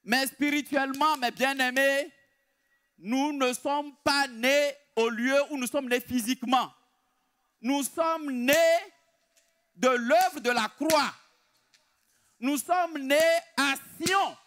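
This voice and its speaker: A man preaching a sermon in an emphatic, deliberate way, repeating his key phrases. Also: extremely high pitch, sharp tone.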